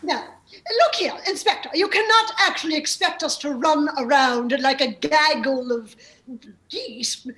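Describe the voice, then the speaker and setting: A woman's voice: high-pitched, a little slow, fairly bright tone. A woman playing a haughty, easily affronted English lady in a comic radio play, melodramatic and indignant. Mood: indignant alarm. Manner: melodramatic, indignant, posh exclamations.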